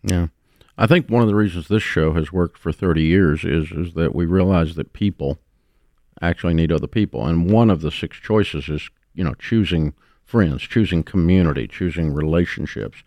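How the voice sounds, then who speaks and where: A plainspoken man hosting a talk show, blunt in how he puts things. His voice sits very low. The recording is dry and close. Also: moderate pace, darkish tone, dry recording. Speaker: radio show host. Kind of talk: casual talk show conversation.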